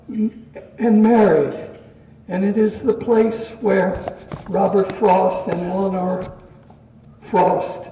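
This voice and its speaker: Older male lecture introducer, unhurried reader, dark mellow tone, distant roomy hall recording. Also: high voice. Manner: measured, reads from notes. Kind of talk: reading a prepared introduction. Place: community meeting room. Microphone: stand mic at a podium. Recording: roomy hall sound, distant mic, faint hiss.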